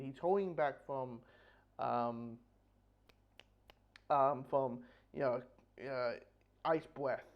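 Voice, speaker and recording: A man giving a casual spoken comic book review, rambling and repeating phrases as he goes. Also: on the high side. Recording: dull, lo-fi audio.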